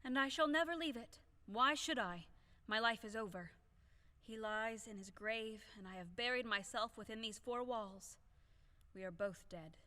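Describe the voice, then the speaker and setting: An actress playing a proud, grieving young widow in an audio play, theatrical and lamenting. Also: female voice, a little high, moderate pace, sharp tone. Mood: mournful. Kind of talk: audio play acting of a classic stage comedy.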